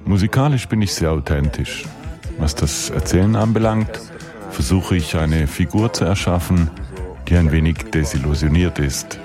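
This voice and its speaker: Very deep male voice-over translator, calm read, music bed behind. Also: normal speed. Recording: voice-over with clear music underneath.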